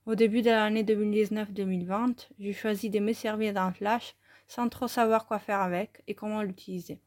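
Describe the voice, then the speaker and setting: Calm woman reading. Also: medium pitch, fairly quick. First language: Italian. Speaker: photography student presenting her work. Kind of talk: reading a scripted self-interview.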